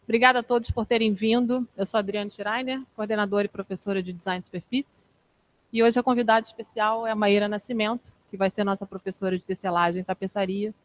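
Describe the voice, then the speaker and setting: A woman coordinator opening an event and introducing her guest in a relaxed, informal way. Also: mid-range voice, quick pace, poor audio. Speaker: course coordinator and event host. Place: event room with an audience.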